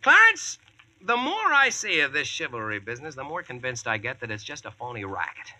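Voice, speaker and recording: An actor with an American accent playing a brash, slangy, wisecracking Yankee boss in a vintage radio drama. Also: male voice, mid-range voice, huge pitch swings, pushed and bright, full character voice. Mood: brisk, impatient. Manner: slangy, brisk, cocksure. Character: brash wisecracking modern Yankee man bossing King Arthur's court. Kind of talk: 1940s radio acting and first-person narration. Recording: noisy vintage radio recording.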